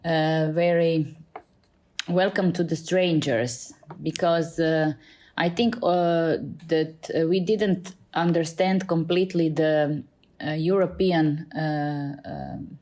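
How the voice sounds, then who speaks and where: Croatian woman, middle-aged, deep and slow, groping for English words, podcast guest in a library. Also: warm tone. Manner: hesitant, many fillers and restarts. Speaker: school librarian and Italian teacher, guest. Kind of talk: podcast interview. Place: school library.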